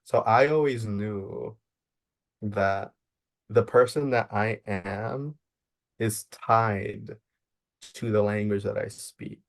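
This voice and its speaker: A young man from Maryland, a social worker, giving earnest, measured interview answers and thinking aloud as he goes. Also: fairly low pitch, vocal fry. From Maryland, United States.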